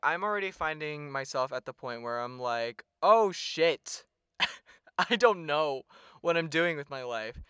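A young man talks with friends on a podcast in a bright, smooth, slightly raised voice. He is chatty, swears casually and laughs easily. The sound is dry and close, though he sits a little back from the mic. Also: fairly high pitch, lively intonation, measured pace, no echo. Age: young adult. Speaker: podcast co-host. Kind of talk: casual chat. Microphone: sits some way back from the mic.

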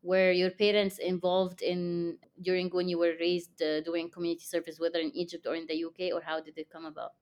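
A woman's voice: fairly low pitch, flat delivery, brisk, few pauses, darkish tone, smooth, muffled recording. A woman hosting a podcast, speaking in a conversational interview style. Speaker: podcast host.

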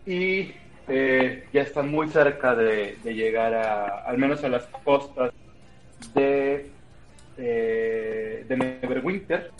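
A man guides his players through a tabletop adventure in a relaxed, unhurried way, full of little fillers. His voice sits at an ordinary pitch. Soft music plays faintly behind him. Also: poor audio. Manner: relaxed, many fillers and restarts. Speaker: game master. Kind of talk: narrating a tabletop game.

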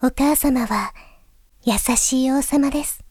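A woman playing a gentle, thoughtful young princess, speaking formally, softly and earnestly in a Japanese voice drama monologue. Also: high-pitched, takes it slowly, breathy edge, dry recording, studio quality, full character voice. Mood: gentle, confiding. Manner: formal, soft-spoken, earnest.

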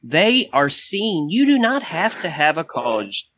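A man's voice: fairly high pitch, sing-song, unhurried, a bit bright, husky. A middle-aged man in education talking casually on a podcast, opinionated and driving points home with repetition. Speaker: high school educator.

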